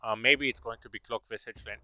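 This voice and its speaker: A man's voice: mid-range voice, steady tone, fast talker, bright, forceful tone, no echo. A man with a Bulgarian accent co-casting an esports match, analytical and hesitant with many fillers. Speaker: esports co-caster. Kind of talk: live game commentary. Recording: slightly dull, lo-fi mic.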